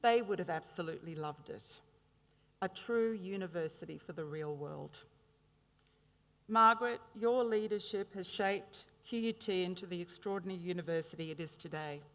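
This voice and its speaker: Middle-aged Australian woman in a hall. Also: fairly low pitch. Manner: warm humorous anecdotes, measured delivery. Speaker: graduation ceremony speaker. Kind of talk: formal ceremonial speech. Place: large indoor graduation hall. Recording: reverberant ceremony hall.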